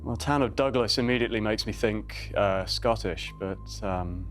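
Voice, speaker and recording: A man's voice: fairly low pitch, moderate pace, soft background music. A man in his twenties, a quiz contestant, reasoning his way through a question hesitantly with fillers. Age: 20s. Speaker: quiz contestant, physics student. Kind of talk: thinking aloud on a quiz show.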